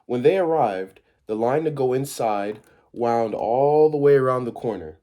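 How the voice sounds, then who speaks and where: A man's voice: medium pitch, expressive, a little slow, warm tone. A man reading a children's story aloud as a volunteer, informal and occasionally stumbling over his words.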